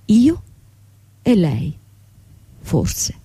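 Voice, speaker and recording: An actress playing a young, proud, bitter bankrupt company owner in a theatrical radio drama. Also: female voice, fairly low pitch, wildly swooping pitch, extremely slow, mellow, breathy edge, no room sound, full character voice. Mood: anguished, sombre. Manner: theatrical, dramatic delivery with repetition.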